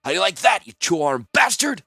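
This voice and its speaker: A man voicing a furious, violent one-armed man who snarls and shouts. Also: sits high, very animated, moderate pace, vocal fry, slightly rough, no room sound, clean studio sound, pitched above the performer's own voice, heavily acted. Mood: snarling.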